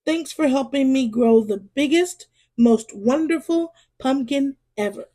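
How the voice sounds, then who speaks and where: A woman's voice: high voice, a little slow, mellow. A woman voicing a curious, sweet young male turkey in a children's storybook, earnest and wide-eyed. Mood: grateful, tender.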